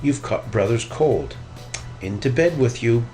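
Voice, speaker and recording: A man voicing a caring, gently firm mother bear in a children's storybook. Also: mid-range voice, lively intonation, a little slow, faint music behind, natural voice. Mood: concerned.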